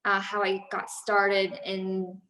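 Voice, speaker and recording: A woman's voice: mid-range voice, completely flat delivery, takes it slowly, sharp tone, reverberant, rough recording. A woman in her thirties, a business founder, chatting casually in an interview with many fillers and restarts. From Los Angeles, USA.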